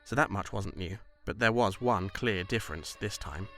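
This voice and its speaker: A man reading scripted story narration in a measured, literary delivery. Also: on the low side, pushed and bright, soft background music.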